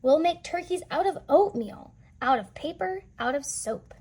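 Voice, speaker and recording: A woman's voice: high-pitched, animated, moderate pace, dark tone, pitched above the performer's own voice. A woman voicing an enthusiastic, scheming townsman in a storybook, declaiming his plan in emphatic rhyme. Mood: eager.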